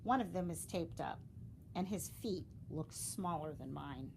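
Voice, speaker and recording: Lively woman reading a storybook aloud. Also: low voice, unhurried, creaky, background noise. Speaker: storybook reader. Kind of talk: expressive children's read-aloud narration.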